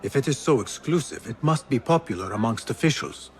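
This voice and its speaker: An actor playing a resolute, guarded assassin in a video game, speaking in measured, serious and formal phrases. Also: male voice, mid-range voice, animated, close to an everyday voice. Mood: polite, guarded. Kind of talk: dramatic game cutscene acting.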